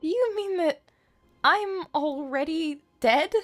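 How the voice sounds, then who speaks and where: A woman's voice: very high voice, slow pace, fairly bright tone. A woman streamer giving casual, playful, reactive live commentary on a video game, often repeating words.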